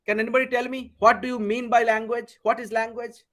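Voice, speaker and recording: A man with an Indian English accent leads a live online class over a video call. His voice sits high for a man. He quizzes his students and says key words twice. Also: flat delivery, brisk, fairly bright tone. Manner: asks the class questions, repeats words for emphasis. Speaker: English communication trainer. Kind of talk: live online workshop lecture.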